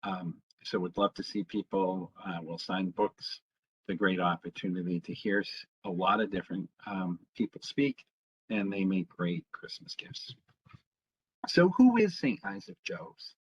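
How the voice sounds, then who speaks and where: A man, a town historian, giving an informal public history talk, pausing often with ums and uhs. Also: low voice, animated, husky. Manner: halting, fills pauses with frequent ums. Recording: dull lo-fi sound.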